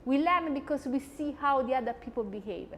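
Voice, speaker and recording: Animated Italian woman, high voice, roomy. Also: fairly quick, slight background noise. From Italy. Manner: chatty, animated, frequent asides. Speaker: host. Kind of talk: lecture-style talk.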